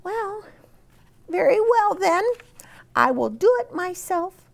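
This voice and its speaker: A busy storybook mother hen, acted out by a woman, pitched very high with huge swoops. She clucks, pleads and scolds in exaggerated exclamations, bossy and quick to take offence. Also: big pitch swings, creaky, full character voice. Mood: coaxing, resigned.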